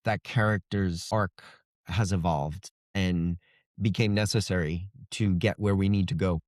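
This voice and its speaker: Casting: man, middle-aged, slightly deep voice, casual storyteller guest, thoughtful, recorded without room echo. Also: fairly even intonation, a little slow, darkish tone, studio quality. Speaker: fantasy author, interview guest.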